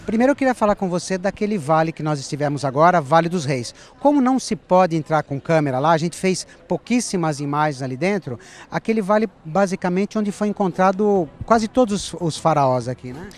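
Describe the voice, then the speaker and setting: Chatty brazilian man, higher voice. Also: expressive, a little fast. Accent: Brazilian Portuguese. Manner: chatty, easygoing, asks leading questions. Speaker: cooking show host. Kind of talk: travel show interview. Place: outdoors at an ancient temple.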